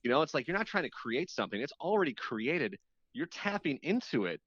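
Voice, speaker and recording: A man's voice: a little high, sing-song, a little fast, fairly bright tone, no room sound. A man who hosts radio, chatting casually as an interview guest and often rephrasing himself as he explains. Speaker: radio show host appearing as guest. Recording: dull, muffled lo-fi line.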